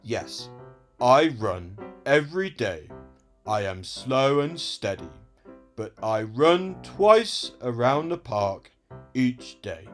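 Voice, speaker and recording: A man voicing a steady, determined male tortoise in a children's storybook fable, speaking in a deliberate, drawn-out way. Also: medium pitch, faint music behind. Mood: steady, earnest. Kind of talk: storybook read-aloud character voice.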